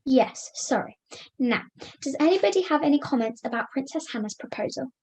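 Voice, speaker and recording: A woman's voice: high voice, expressive, darkish tone, poor audio. A woman playing a polite, orderly puppet who chairs meetings formally in a scripted comedy. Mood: businesslike. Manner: formal, measured, businesslike.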